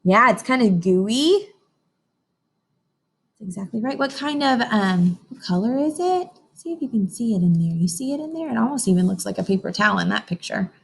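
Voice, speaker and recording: A woman teacher reading a picture book aloud to young children, expressive, often pausing to question her listeners directly. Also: medium pitch, gravelly, slight background noise. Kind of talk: informational read-aloud lesson for young children.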